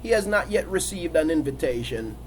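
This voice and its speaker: High-voiced preacher man with a cold. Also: sing-song, a little fast, slight background noise, poor audio. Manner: repetitive, building emphatic cadence. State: has a cold, sniffling. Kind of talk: sermon.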